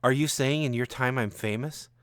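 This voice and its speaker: A man's voice: medium pitch, normal speed, fairly bright tone, dry recording, clean studio sound, lightly acted. A man voicing a bewildered, indignant inventor in a story, guarded and questioning. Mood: wary, confused. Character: indignant, bewildered male basement inventor of a time machine.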